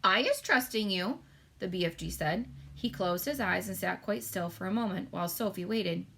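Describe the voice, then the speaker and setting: A woman narrating a children's book aloud in an expressive storytelling style. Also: lowish voice, normal speed, creaky, a little hiss or hum, poor audio. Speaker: storybook reader.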